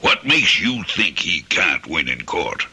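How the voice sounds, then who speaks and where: This is a suspicious company boss in a classic radio play. He is very deep and raspy, with a hard forceful edge, probing with deliberate menace. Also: sing-song, heavily acted. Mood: quietly threatening. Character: stern, suspicious male corporate boss, measured and quietly menacing. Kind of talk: old-time radio drama acting.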